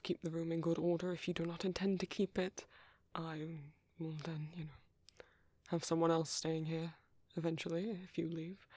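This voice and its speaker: A performer voicing a gentle, reserved British vampire priest in soft, hesitant, breathy ASMR roleplay. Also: medium pitch, no room sound, natural voice.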